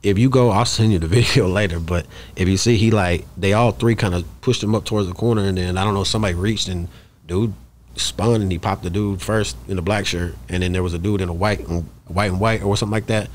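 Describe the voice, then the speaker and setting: Low-voiced Texan man chatting. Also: fairly even intonation, quick pace, slight background noise. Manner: rambling, repetitive, slangy. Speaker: podcast guest, rapper. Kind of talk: casual chat. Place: small podcast studio in a shop, indoors. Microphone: close studio microphone on arm.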